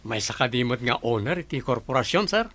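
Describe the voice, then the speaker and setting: A man's voice: medium pitch, lively intonation, fairly quick, a bit bright. A man with an Ilocano accent playing a nosy, teasing office colleague in a radio drama. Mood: inquisitive. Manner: teasing, inquisitive.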